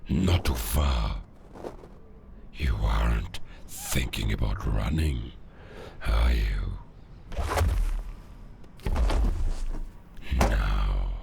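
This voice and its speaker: A man's voice: background noise, heavily acted. A man playing a menacing, defiant werewolf villain in an audio drama. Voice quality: deep gravelly growl. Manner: slow, taunting, threatening. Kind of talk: cinematic audio roleplay acting.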